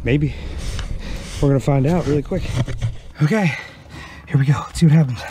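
A man's voice: fairly high pitch, normal speed, noisy background. A man, narrating his outdoor work straight to camera in a plain-spoken, joking way, recorded on an action camera by a creek. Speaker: outdoor explorer vlogger. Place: outdoors by a creek under a bridge. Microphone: action camera held or mounted close.

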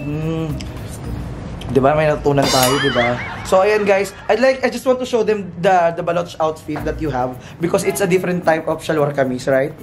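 A man's voice: on the high side, fairly quick, background noise. A young Filipino man with a Filipino accent, chatting as a travel vlogger at arm's length, giggly and mixing Tagalog with English. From Philippines. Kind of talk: casual vlog chat. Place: hotel room indoors, later street outdoors. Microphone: handheld camera at arm's length. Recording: music playing loud behind.

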